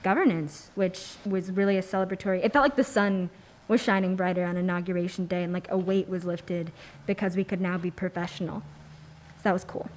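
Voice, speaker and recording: A young American woman giving her reflections in a discussion, articulate and measured with fairly formal wording. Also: lowish voice, steady tone. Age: young adult.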